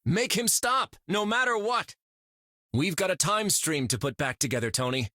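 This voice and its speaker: A man's voice: sits high, animated, moderate pace, bright, forceful tone, dry recording, studio quality. A man voicing a brainy, wry, slightly anxious scientist in a video game. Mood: wry, exasperated. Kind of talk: video game character dialogue.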